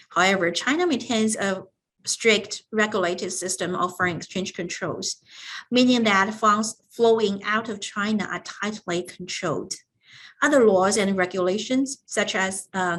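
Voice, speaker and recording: Woman giving a formal, halting business presentation. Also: medium pitch, a bit bright. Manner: formal, halting reading with frequent hesitations. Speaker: business tax advisory presenter. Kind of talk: scripted explanatory business presentation.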